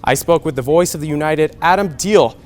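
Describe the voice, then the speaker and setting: Higher-voiced young male sports anchor. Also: a little fast, soft background music. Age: young adult. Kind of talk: scripted TV sports newscast.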